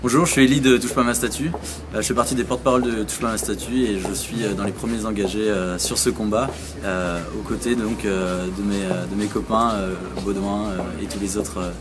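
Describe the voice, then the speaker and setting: A young man, spokesman of an activist group from western France, speaking haltingly in an interview with constant fillers. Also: lowish voice, fairly even intonation, noisy background. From Vendée, France.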